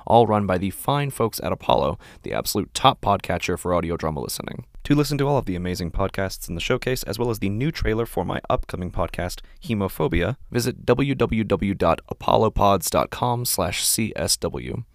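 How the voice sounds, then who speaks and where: Deep, creaky-voiced man reading podcast announcements. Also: animated, fairly quick, few pauses, no room sound, clean studio sound. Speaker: podcast creator and host. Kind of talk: scripted promo and disclaimer read.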